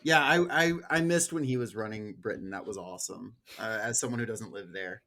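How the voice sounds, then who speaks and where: A man's voice: lowish voice, a little fast, sharp tone. A man chatting casually about politics as a podcast co-host, with a wry, ironic way of talking.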